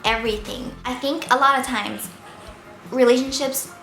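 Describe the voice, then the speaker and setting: Woman vlogger in her twenties, squeaky and slightly husky from a sore throat, bright tone, roomy echo with faint music underneath. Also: fairly even intonation, normal speed. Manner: chatty, playful, giggly. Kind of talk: casual Q&A vlog. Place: indoors at home, seated by window light.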